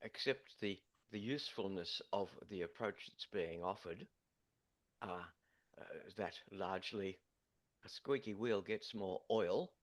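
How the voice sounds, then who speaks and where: A very deep-voiced man speaks slowly on a video call. He hesitates often, filling the gaps with "uh" as he gathers his thoughts. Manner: hesitant, many uh pauses. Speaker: webinar participant, landcare group member.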